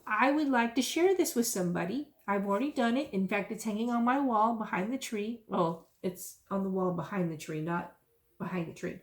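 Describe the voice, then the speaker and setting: A woman's voice: medium pitch, brisk. A woman, chatting casually and rambling into folksy, self-amused asides in her stitching vlog. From South Texas. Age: 50s. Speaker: stitching vlogger.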